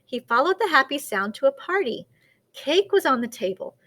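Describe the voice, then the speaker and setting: A woman reading a children's picture book aloud as a storyteller, with dramatic, deliberate expression. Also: high voice, big pitch swings, a bit bright.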